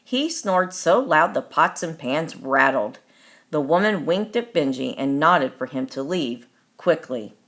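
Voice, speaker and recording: A woman reading a children's story aloud as its author, in deliberate, expressive scripted narration. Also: sits low.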